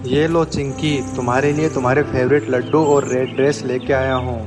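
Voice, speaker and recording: A man voicing a doting, gentle pigeon father in a cartoon story. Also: mid-range voice, flat delivery, normal speed, long reverb tail, music in the background, natural voice. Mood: affectionate. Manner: affectionate. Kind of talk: Hindi cartoon story dialogue.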